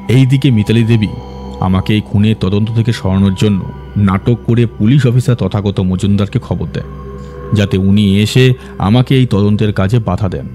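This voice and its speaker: Actor as confident detective, low mellow voice, measured explaining delivery, audio drama, faint music underneath. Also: male voice, natural voice. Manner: measured, expository. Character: composed, confident male detective laying out a murder case. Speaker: detective character.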